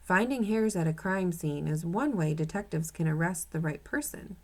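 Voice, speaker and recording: A woman reading aloud as a calm, knowledgeable man who explains things in a children's storybook. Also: fairly low pitch, normal speed. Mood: explaining. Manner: instructive, matter-of-fact.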